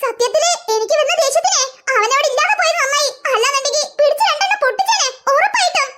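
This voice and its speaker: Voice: extremely high pitch, animated, quick pace. A performer voicing a stern young mother who scolds and threatens a naughty son. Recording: loud background noise.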